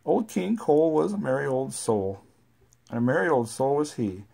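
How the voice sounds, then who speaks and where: Gravelly parent reading nursery rhymes. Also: medium pitch, big pitch swings, normal speed, dark tone. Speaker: parent reading to a young child. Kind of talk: reading nursery rhymes aloud, chatting with a small child.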